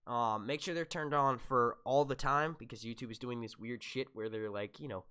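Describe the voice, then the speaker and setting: A man's voice: medium pitch, normal speed, bright, forceful tone, smooth. A man hosting a casual podcast chat, rambling with lots of fillers. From United States. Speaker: podcast host.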